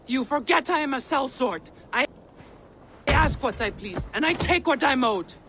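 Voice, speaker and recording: A defiant, greedy mercenary in a video game. She is combative and lively in pitch, with faint noise behind her. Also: moderate pace. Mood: angry defiance. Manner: combative, forceful. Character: brash, defiant, greedy sellsword. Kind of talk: video game character acting.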